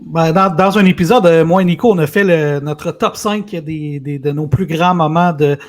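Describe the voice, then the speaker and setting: A man with a Québécois French accent, co-hosting a casual podcast chat, talking in a halting, chatty way. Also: fairly high pitch. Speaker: podcast co-host.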